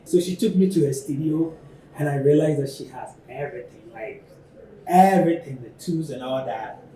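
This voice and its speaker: A man with a Ghanaian accent, an artist talking through his work in a thoughtful, halting way, often pausing on "you know.". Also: on the high side, warm tone, a little hiss or hum, poor audio. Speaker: ceramic artist. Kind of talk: reflective studio talk.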